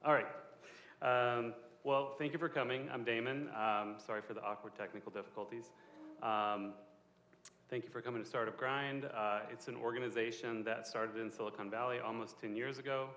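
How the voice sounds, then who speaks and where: A man's voice: mid-range voice, steady tone, moderate pace, fairly bright tone, a little hiss or hum. A man hosting a live on-stage interview at an event, conversational and a bit apologetic, speaking into a handheld mic. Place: event space with a small audience. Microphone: handheld microphone. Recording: roomy live venue.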